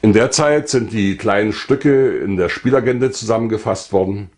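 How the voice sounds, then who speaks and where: An older man narrating his own life story from a script in a measured, formal way. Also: lowish voice, darkish tone.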